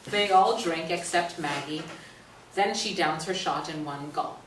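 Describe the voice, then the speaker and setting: A woman's voice: low-pitched, a little slow. A woman reading stage directions as the narrator of a staged play reading. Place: indoor stage reading, mic at a distance. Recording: roomy distant stage recording, faint noise.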